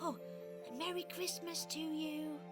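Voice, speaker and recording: A woman's voice: high voice, steady tone, a little slow, loud music behind the voice. A woman playing a small, hopeful, childlike female toy in a children's radio play, with breathless, wide-eyed exclamations. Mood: fond farewell.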